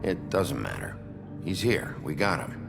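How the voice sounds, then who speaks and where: An actor playing a gruff, short-tempered sheriff in a video game, with a gravelly voice and a terse, confrontational manner. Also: male voice, low voice, big pitch swings, measured pace, heavily acted. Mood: grim, weary. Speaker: sheriff character. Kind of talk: dramatic video game voice acting. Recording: reverberant hall, music underneath.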